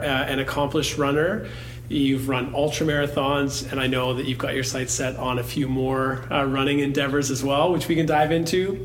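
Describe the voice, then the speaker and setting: A man's voice: a little high, a little hiss or hum. A man hosting a podcast, who welcomes his guest in an upbeat, enthusiastic way and uses plenty of fillers. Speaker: podcast host. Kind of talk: podcast interview.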